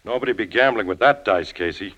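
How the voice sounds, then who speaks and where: An actor playing a dutiful, folksy police sergeant, colloquial and deferential, in an old-time radio crime drama. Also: male voice, on the low side, fast talker, fairly bright tone, lo-fi sound, a little hiss or hum, natural voice. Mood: wry. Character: folksy, dutiful male police sergeant reporting to his captain. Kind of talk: 1940s-50s radio drama acting.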